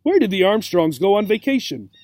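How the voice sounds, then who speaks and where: A man's voice: sits high, big pitch swings, fairly quick, darkish tone, no echo, pitched above the performer's own voice. A man voicing a timid, anxious little female pet gerbil in a storybook, nervous and questioning. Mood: anxious, aghast.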